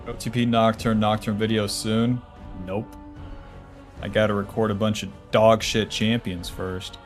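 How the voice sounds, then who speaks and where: A man's voice: on the low side, flat delivery, measured pace, soft background music. A man in his twenties streaming a game, talking casually and bluntly, cursing freely and answering chat questions aloud. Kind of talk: casual livestream chat while playing.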